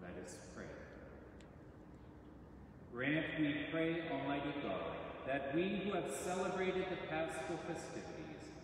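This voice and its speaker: A middle-aged man, a priest, reciting liturgical prayers and reading scripture in a measured, solemn way. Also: a little high, a little hiss or hum. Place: large church sanctuary. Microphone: stand mic at lectern. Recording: echoey church from far off.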